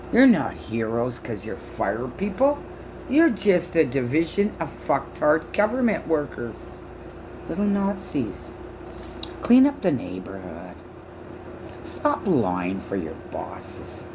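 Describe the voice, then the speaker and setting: Loud, furious woman in her sixties. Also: low-pitched, very animated, background noise. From Canada. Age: 60s. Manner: shouting, profane, accusatory; halting speech from false teeth. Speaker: vlogger. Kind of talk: angry rant to camera.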